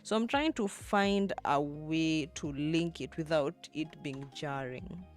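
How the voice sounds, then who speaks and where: Unhurried woman streamer thinking aloud. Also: low voice, faint music behind. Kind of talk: livestream, talking to chat while editing.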